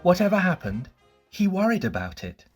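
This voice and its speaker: A storyteller narrating a children's bedtime story in an expressive, scripted reading style. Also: mid-range voice, normal speed, soft background music.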